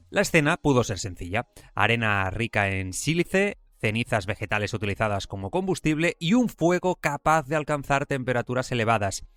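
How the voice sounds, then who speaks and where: A man with a Spain accent hosting a podcast, reading educational narration in a clear expository way. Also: mid-range voice, fairly bright tone, dry recording. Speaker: podcast host.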